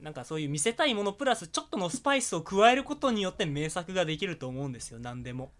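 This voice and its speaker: Lively high-pitched man bantering on a podcast. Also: racing, noisy background. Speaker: podcast co-host.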